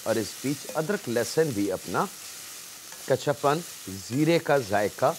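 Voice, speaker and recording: A man's voice: mid-range voice, animated, takes it slowly, slight background noise, rough recording. A man with a Pakistani Urdu accent hosting a cooking show, politely addressing viewers as he explains each step of the recipe.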